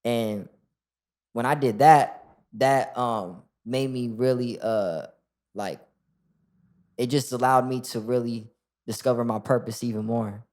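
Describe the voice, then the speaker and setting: Casual young male rapper, mid-range voice, relaxed and rambling. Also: mid-range voice, slow pace, pauses often. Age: 20s. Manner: relaxed, lots of "like" and restarts. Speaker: rapper guest. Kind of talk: casual interview chat.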